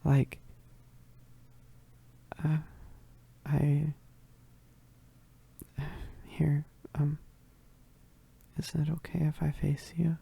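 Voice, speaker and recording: Woman acting shy needy werewolf roommate for asmr, slow halting whispery talk, really soft and mellow, close mic. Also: low-pitched, little pitch movement, gravelly, slightly rough, exaggerated voice. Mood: nervous, confessing. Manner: halting, stammering, bashful, often dropping into whispers. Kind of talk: ASMR romantic roleplay. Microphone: close microphone.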